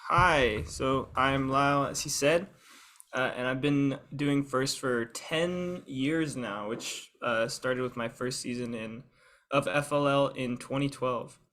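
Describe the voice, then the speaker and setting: A man's voice: mid-range voice, takes it slowly, a bit bright. A young man, a student guest, giving a prepared, organized explanatory talk on a video call. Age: young adult.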